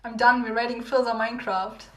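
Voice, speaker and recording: A young woman with a fairly high, slightly hoarse voice chats to her livestream viewers in a loose, rambling way, repeating lines and laughing softly. She sounds like she is losing her voice. The room around her is noticeably echoey. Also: flat delivery, a little fast. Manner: rambling, repeats herself, giggly. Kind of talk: casual livestream chat with viewers. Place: home room.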